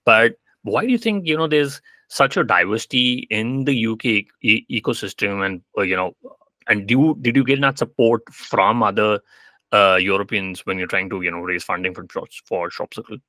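Hesitant male podcast host, bright dry voice. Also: on the low side, normal speed, dry recording. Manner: hesitant, repeats words, "you know" fillers. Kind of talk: interview host questions. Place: indoors, home room. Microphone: video call webcam.

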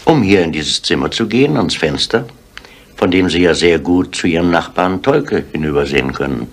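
A deep-voiced police inspector in a 1970s radio crime drama. He puts his questions politely and with measured calm. He is persistent and never lets go of a point. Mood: insistent.

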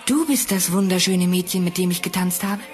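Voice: mid-range voice, brisk, soft background music, natural voice. An actor playing a noble, determined young prince in a fairy-tale audio play, speaking earnestly and formally. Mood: tender, resolute.

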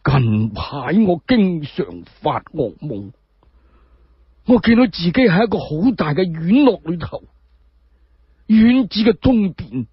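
A man voicing a frail, sorrowful old sage in Cantonese for a radio drama, drawn-out and halting. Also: high voice, slightly rough, no echo, muffled recording, heavily acted. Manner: drawn-out, halting, repeating words.

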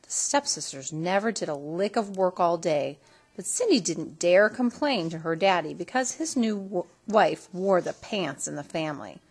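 A woman reading a children's story aloud in an expressive storytelling style. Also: fairly low pitch, moderate pace, breathy edge. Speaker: storybook reader.

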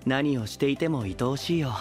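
A male actor playing a serene, lofty young idol in an audio drama, gentle and composed. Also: medium pitch, normal speed, faint music behind, natural voice. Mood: serene, affectionate. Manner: gentle, composed, refers to himself by name.

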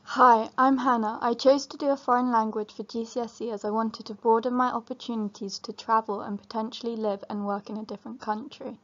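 Brief: teen schoolgirl, fairly high voice, careful read, muffled sound. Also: steady tone, fairly quick, hardly any pauses. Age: teenager. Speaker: student. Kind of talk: reading a prepared statement.

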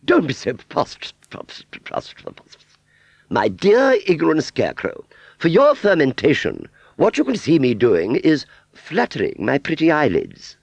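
A man's voice: on the high side, huge pitch swings, normal speed, creaky, no echo, muffled recording, voice pushed up, full character voice. A man voicing a vain, haughty female fairground doll in a storybook, with an affectedly genteel but ungrammatical way of speaking. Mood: sneering.

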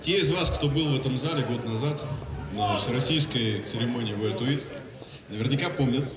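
A man's voice: mid-range voice, moderate pace, music in the background, crowd noise. A man presenting an award, addressing the audience from the stage. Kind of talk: speech on stage. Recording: roomy hall from far off.

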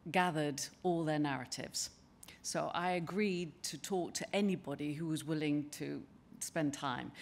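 An older woman giving a conference presentation at a lectern in a large wood-panelled hall, speaking in a measured, conversational way with frequent ums and restarts. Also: low-pitched, creaky. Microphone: lectern microphone.

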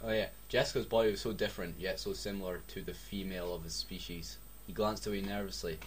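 A man's voice: sits low, fairly even intonation, fast, a little hiss or hum. A young Scottish man reading a badly written story aloud, mocking it with swearing and jokes. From Scotland. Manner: sarcastic, swears a lot, breaks off to joke. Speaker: reader.

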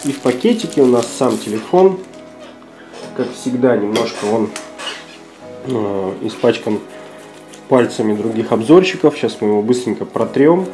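Slightly hoarse man unboxing a phone. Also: mid-range voice, expressive, normal speed, pauses often, over music. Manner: chatty, thinking aloud with frequent "uh" fillers. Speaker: tech reviewer. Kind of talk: casual unboxing commentary. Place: office desk indoors.

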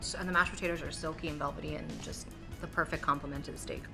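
A woman's voice: sits low, fairly even intonation, fast talker, vocal fry. A young woman hosting a food show, describing dishes with enthusiasm as she tastes them. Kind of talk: upbeat food review chat. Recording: background music under voice.

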